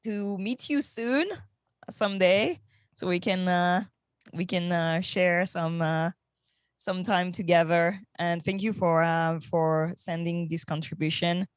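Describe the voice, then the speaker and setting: A woman hosting a casual panel conversation, speaking in a hesitant, halting way. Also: on the low side, fairly even intonation, clean tone, dry recording, clean studio sound. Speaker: podcast host.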